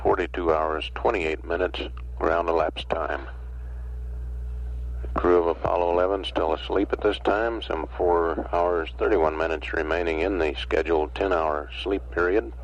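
An American man giving procedural, matter-of-fact spaceflight status announcements as mission control's public affairs officer, over an archival radio transmission. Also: low voice. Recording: noisy, dull archival radio transmission with hum.

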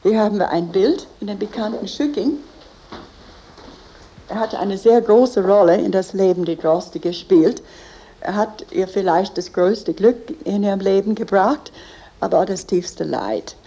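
A woman's voice: mid-range voice, a little slow, dark tone, slightly rough, lo-fi sound. A woman with a foreign accent giving an informal, earnest explanation as a tour guide, in non-native phrasing.

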